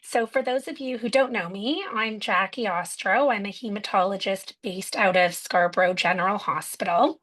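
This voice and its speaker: A woman's voice: medium pitch, fairly bright tone, poor audio. A woman hematologist formally introducing the speakers at medical rounds.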